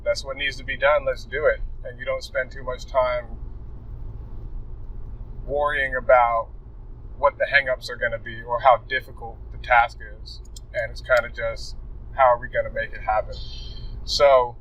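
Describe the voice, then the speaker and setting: A man's voice: mid-range voice, level tone, moderate pace, long pauses, fairly bright tone. A man hosting a casual interview, friendly and encouraging, talking in loose run-on phrases. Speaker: host. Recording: voice picked up from a distance from the mic.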